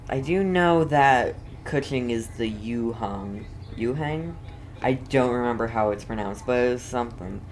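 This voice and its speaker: A woman's voice: rumbling low, a little mellow. A woman giving casual gameplay commentary as a streamer, rambling and questioning herself as she goes. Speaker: game streamer. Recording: clearly noisy background.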